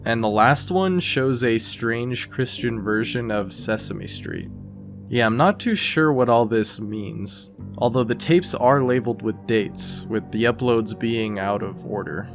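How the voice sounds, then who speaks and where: Male narrator for an explainer. Scripted but conversational and informal, soft music bed behind. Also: medium pitch, a little slow. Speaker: video essay narrator.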